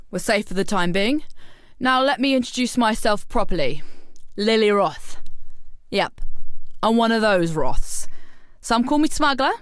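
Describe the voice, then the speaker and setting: A roguish female pirate captain from a video game, acted by a woman. She talks in a salty, cocky and colloquial way, like a plain-spoken seafarer. Her voice sits at a middle pitch and is recorded close and dry, with no room sound. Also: smooth, no room sound, close to an everyday voice. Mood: brash, bold. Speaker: game voice actor. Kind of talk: video game character dialogue.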